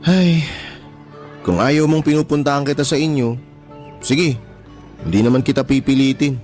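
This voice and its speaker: A man's voice: mid-range voice, normal speed, darkish tone, soft background music, lightly acted. An actor playing a persistent young man courting a tomboyish woman in a radio drama, teasing her with chuckles. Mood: earnest. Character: persistent young male suitor, teasing but sincere.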